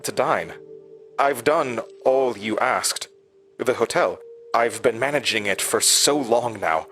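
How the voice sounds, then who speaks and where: An actor playing an uncanny, eagerly servile hotel manager who speaks in a formal, deferential, butler-like way in a horror audio drama. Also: male voice, medium pitch, slow pace, fairly bright tone, faint music behind. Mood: pleading, proud.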